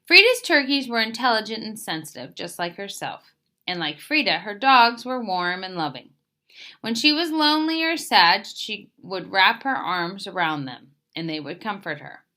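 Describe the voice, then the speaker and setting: Female storyteller for picture book read-aloud. Bright tone, highly varied pitch, expressive phrasing. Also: moderate pace. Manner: expressive, emphatic phrase openings that settle at sentence ends.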